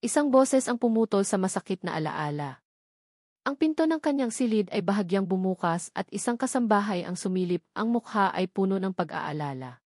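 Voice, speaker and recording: A woman's voice: mid-range voice, expressive, a little mellow, dry recording, studio quality. Woman narrating a dramatic love story. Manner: earnest, measured storytelling.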